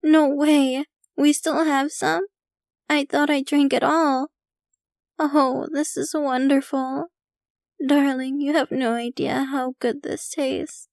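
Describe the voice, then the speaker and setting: A woman's voice: high voice, level tone, slow pace, darkish tone, no echo, studio quality. A woman playing a possessive, rich yandere vampire girlfriend in an ASMR roleplay, speaking to the listener in a theatrical, doting, gushing manner. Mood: delighted, gushing. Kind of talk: ASMR roleplay, scripted intimate monologue to listener.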